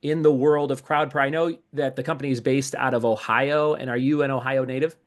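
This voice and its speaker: An upbeat man hosting a podcast interview in a relaxed, chatty way. He jokes and teases his guest, sitting in a plain middle pitch. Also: fairly quick. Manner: upbeat, playful, joking. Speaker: podcast host. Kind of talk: casual interview chat.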